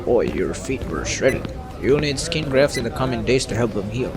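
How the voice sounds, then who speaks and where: Man playing a gentle, prying hospital doctor. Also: mid-range voice, very animated, normal speed, loud music behind the voice, lightly acted. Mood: explaining. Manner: gentle, matter-of-fact explaining.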